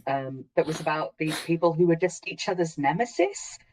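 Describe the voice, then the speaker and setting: A woman's voice: low-pitched, animated, normal speed, darkish tone, a little hiss or hum, low-quality recording. A woman talking casually on a panel as an audio drama creator, hesitant and searching for her words.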